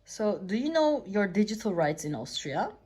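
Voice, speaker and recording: Female interviewer, mid-pitched, lively intonation, survey-style questions. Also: normal speed, husky.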